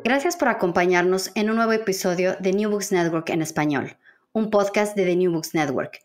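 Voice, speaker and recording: A woman's voice: on the low side, normal speed. A woman hosting a podcast interview, presenting guests and putting prepared questions to them. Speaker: podcast host interviewing book authors.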